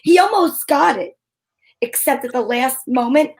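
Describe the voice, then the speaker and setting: A woman playing a brisk, bossy, wry female detective who narrates her case in old-time radio drama style. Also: sits high, creaky, darkish tone. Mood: narrating, wry. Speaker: narrator and lead actor.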